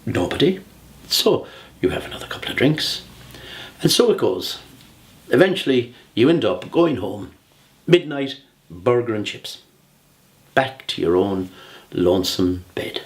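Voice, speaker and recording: A man in his sixties with an Irish accent, giving a humorous prepared speech in a measured, theatrical way with deliberate pauses. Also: mid-range voice, animated. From Ireland. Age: 60s. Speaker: humorous public speaker.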